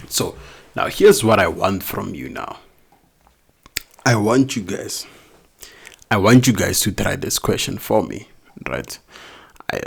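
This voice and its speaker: Accented male math tutor, low gravelly voice, walks through each step and quizzes himself aloud. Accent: non-native English accent. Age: adult, not young. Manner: explains step by step, asks questions then answers them. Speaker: math teacher. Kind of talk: recorded math lesson.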